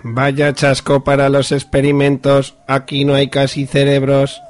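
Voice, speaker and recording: A man's voice: mid-range voice, monotone, unhurried, faint music behind, close to an everyday voice. A man playing a cold, bossy experimenter in a comic radio serial. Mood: cold, commanding.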